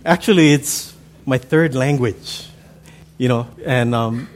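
A middle-aged man from Hawaii with a Hawaiian accent, Pidgin his first language, preaching a sermon in a relaxed, chatty, folksy way. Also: medium pitch, slow pace. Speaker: pastor.

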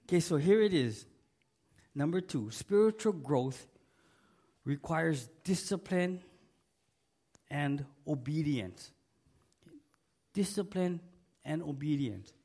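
A middle-aged man preaches a sermon in a church, taking his time over each phrase. His voice sits a little high and has a gravelly fry, worn down by a cold. He leans hard on repeated words and calls on the room for an amen. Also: animated, slow, pauses often, warm tone. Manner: emphatic, repeats key words, asks the congregation for an amen. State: losing his voice, sick. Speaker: pastor. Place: church sanctuary.

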